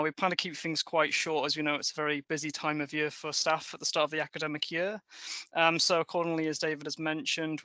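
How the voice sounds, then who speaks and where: A man's voice: on the high side, sharp tone, no room sound. A man, an operations manager, giving a formal prepared introduction in a webinar. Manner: formal, reads prepared points. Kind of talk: scripted business presentation.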